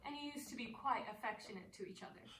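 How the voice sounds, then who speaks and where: A woman's voice: fairly high pitch, quick pace, natural voice. A woman playing a self-assured, haughty actress in a stage play, composed and emphatic. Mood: knowing, analytical. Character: self-assured, indignant actress accused of stealing a husband. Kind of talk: one-act stage play acting. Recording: echoey room from far off, noisy.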